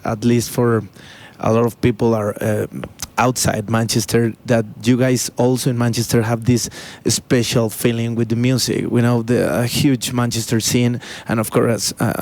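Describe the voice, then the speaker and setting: A man's voice: mid-range voice, darkish tone. A man interviewing a band, hesitant and searching for words, with non-native phrasing. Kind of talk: interview questions.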